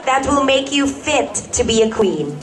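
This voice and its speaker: A woman hosting a live pageant question round, briskly announcing contestants and stretching out their names. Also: high-pitched, sing-song. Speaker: pageant host. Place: live event stage at a mall. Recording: live event with crowd noise. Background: audience crowd.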